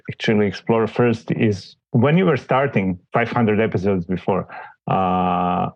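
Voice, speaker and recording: A man with a gravelly, lowish voice asks his questions hesitantly, often repeating words as he looks for the right phrasing. The recording is dry and a little dull. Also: no echo. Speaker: guest interviewer, mentee. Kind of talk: casual interview.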